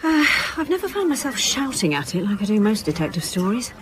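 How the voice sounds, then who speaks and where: A guarded, thoughtful woman detective played by an actress talks naturally in a radio drama. Her mid-pitched voice moves up and down a lot, with a wry edge and faint background noise. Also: sing-song, a little fast, natural voice, low-quality recording. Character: female police detective inspector, wry, thoughtful, guarded mother. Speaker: police detective.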